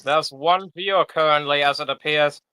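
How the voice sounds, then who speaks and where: A man's voice: fairly high pitch, moderate pace, pushed and bright, clean tone, rough recording. A man hosting a casual livestream, joking and rambling with plenty of fillers. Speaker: livestream host.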